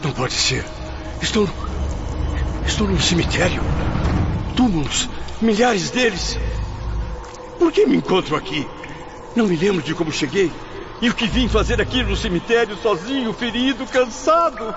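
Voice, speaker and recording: A man's voice: high voice, animated, moderate pace, long pauses. An actor playing a blind, wounded man who has taken his own life, frightened and desperate, in a radio drama. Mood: bewildered. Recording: dull recording with loud noise behind.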